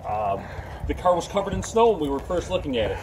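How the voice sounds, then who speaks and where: A man's voice: fairly high pitch, very animated, fast talker, low-quality recording. A man in his forties chatting casually about his cars, telling long stories with many fillers and restarts, recorded first inside a car and then outdoors in a driveway. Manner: rambling storyteller, fillers and restarts. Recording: background music under the talk.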